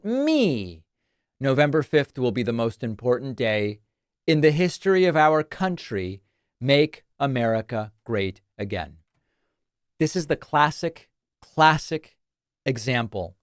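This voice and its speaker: An emphatic, scornful male political commentator from Argentina, a native Spanish speaker, talking straight into a studio broadcast mic. His voice sits at a middle pitch, and the room is dry with no echo. He mocks the posts he quotes.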